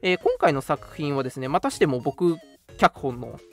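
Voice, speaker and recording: A man's voice: on the high side, big pitch swings, moderate pace, soft background music. A man hosting a radio show, giving announcements in a polite, chatty way. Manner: polite, chatty, frequent interjected particles. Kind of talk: casual scripted-segment radio announcements.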